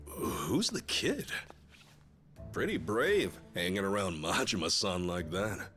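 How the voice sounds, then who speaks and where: A man's voice: low voice, huge pitch swings, takes it slowly, fairly bright tone, faint music behind, exaggerated voice. An actor playing a skeptical, straight-talking man among gangsters in a video game, casual and doubting. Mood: curious. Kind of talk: video game cutscene acting.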